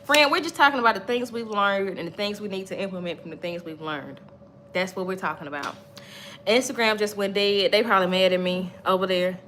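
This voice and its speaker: A woman's voice: mid-range voice, fairly quick, a bit bright, slight background noise. A woman in her thirties giving an emphatic, repetitive faith-based motivational talk to livestream viewers. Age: 30s. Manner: preachy, emphatic, repeats points, chats with viewers. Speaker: livestream host.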